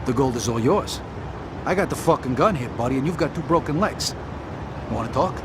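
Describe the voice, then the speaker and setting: An actor playing a world-weary, blunt American mobster in a gritty crime game. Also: male voice, mid-range voice, lively intonation, brisk, noisy background, lightly acted. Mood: cold, menacing. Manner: tough, blunt, streetwise. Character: world-weary, hard-bitten male mobster, loyal to family. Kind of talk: gritty crime drama narration and dialogue.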